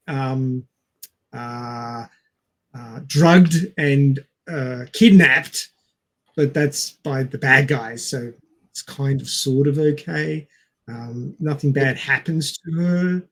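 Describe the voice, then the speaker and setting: A man co-hosting a casual film discussion, speaking in a halting, hesitant way and stretching out words. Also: medium pitch. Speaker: podcast co-host.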